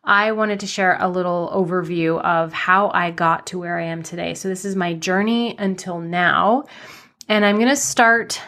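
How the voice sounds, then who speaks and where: A woman's voice: fairly low pitch, a bit bright. A woman in her thirties hosting her podcast, telling her life story in a chatty, rambling way, slightly nasal from a cold. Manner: chatty, rambling with many fillers and restarts. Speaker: podcast host. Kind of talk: casual personal storytelling.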